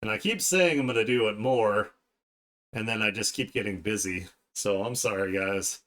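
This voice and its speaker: Low-voiced man chatting casually on stream. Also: normal speed, a bit bright. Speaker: art streamer hosting a livestream. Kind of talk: casual livestream chat while drawing.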